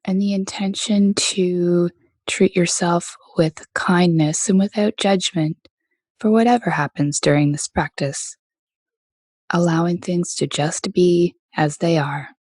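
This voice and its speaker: A woman guides a meditation at a very slow, unhurried pace. Her voice is soft and sits a little low. She leaves gentle pauses between phrases, and the recording is dry and close. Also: dry recording. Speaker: meditation teacher leading a body scan.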